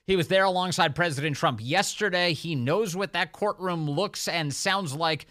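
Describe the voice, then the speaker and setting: A male talk radio host with a bright, forceful voice set a little high. He speaks conversationally about politics, recorded dry and close as in a broadcast studio. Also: normal speed, no echo.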